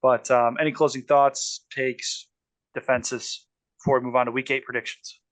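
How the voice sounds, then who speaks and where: A man's voice: medium pitch, flat delivery, normal speed, dry recording. Chatty man hosting a casual sports podcast. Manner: loose and chatty, many ums and restarts. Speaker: podcast host.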